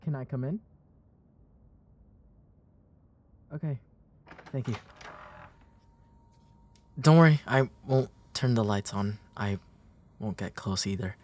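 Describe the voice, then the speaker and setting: A young man playing a gentle, protective, playful big brother in a comfort roleplay, speaking in a hesitant, soothing way. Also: mid-range voice, animated, a little hiss or hum, lightly acted. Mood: gentle concern. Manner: hesitant, soothing, halting delivery. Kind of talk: intimate comfort roleplay audio.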